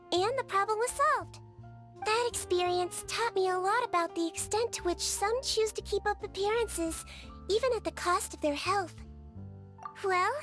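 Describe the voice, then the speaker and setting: A tiny, sweet melusine nurse from a video game speaks in an extremely high, childlike voice. She is earnest and polite, soothing and caring in how she talks. Soft music plays behind her. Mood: earnest, gentle. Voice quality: childlike, girlish. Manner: gentle, earnest, polite, reassuring. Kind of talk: video game character voice lines. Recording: studio voice over game music.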